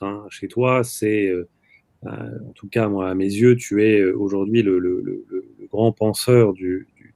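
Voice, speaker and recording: A man's voice: fairly low pitch, dark tone, no echo. A man interviewing a writer, asking long questions with many hesitations. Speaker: interviewer, journalist.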